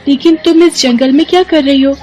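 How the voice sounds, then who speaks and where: A woman voicing an earnest magical golden fish, pleading and kindly, in an animated moral story. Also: sits high, a little fast, soft background music, pitched above the performer's own voice, lightly acted. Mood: grateful, reassuring.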